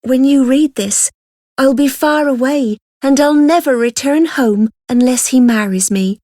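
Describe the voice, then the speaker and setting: A woman's voice: sits high, a little slow, dark tone, dry recording, studio quality. A woman voicing a sorrowful young runaway in an audiobook novel, pleading and apologetic. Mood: remorseful, pleading.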